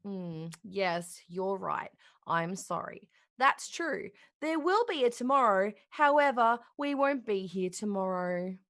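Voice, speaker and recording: A woman playing a gentle children's puppet show host, explaining things in a patient, careful way. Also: medium pitch, expressive, takes it slowly, few pauses, no echo, clean studio sound. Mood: gentle, regretful. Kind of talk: children's television host acting, play reading.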